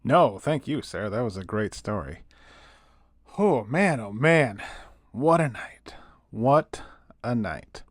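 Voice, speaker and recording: Easygoing late-night radio host, dry studio. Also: male voice, mid-range voice, lively intonation, slow pace, long pauses, no echo. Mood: appreciative. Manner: casual, folksy, sympathetic. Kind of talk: scripted late-night radio show drama, casual hosting.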